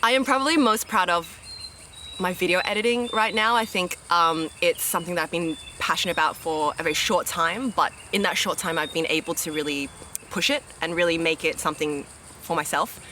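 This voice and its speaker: A woman's voice: fairly low pitch, brisk, sharp tone, slight background noise. A woman, chatting casually as a reality show contestant, upbeat with lots of fillers and restarts. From Australia. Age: 20s. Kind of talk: casual interview answers.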